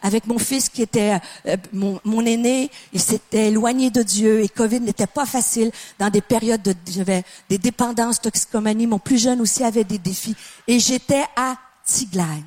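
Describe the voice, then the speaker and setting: A woman's voice: a little high, normal speed, a little mellow. A middle-aged woman from Canada with a Québécois French accent, preaching a sermon with passionate emphasis, building to exclamations.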